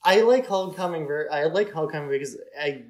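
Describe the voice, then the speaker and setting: A man's voice: a little high, animated, fast, a little mellow. A man co-hosting a podcast, giving his opinions on films in informal, conversational speech. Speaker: podcast co-host. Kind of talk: casual film discussion chat.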